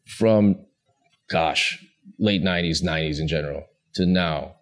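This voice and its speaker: A man's voice: low voice, unhurried. Chatty male podcast host. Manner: relaxed, chatty, agreeable with frequent affirmations. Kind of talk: casual interview.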